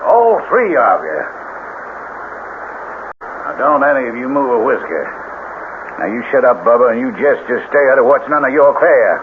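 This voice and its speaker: An actor plays a stern country peacekeeper in a vintage radio play. His Southern voice swoops about with homespun emphasis. The old recording is narrow and clearly noisy. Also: mid-range voice, sing-song, moderate pace, pauses often, close to an everyday voice. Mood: firm warning. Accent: American Southern. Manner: folksy, emphatic, commanding. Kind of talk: old-time radio drama acting.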